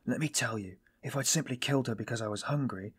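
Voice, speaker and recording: A man playing a weary, self-loathing man in audiobook dialogue, confessing in a brooding, bitter, halting manner. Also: mid-range voice, fairly bright tone, breathy edge. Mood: bitter despair.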